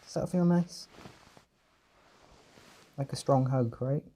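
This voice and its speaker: Soft, husky man, soothing and tender. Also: mid-range voice, slow pace. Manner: tender, soothing, reassuring, pet names. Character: gentle, doting male caretaker soothing an overwhelmed partner. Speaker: audio roleplay performer. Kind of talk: comfort audio roleplay, soft and intimate.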